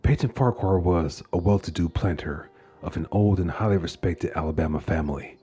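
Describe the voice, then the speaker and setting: A man giving a measured, solemn narration of a literary dark tale. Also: sits low, husky. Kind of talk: dramatic horror-tale narration of a literary short story. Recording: music under the voice. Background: music.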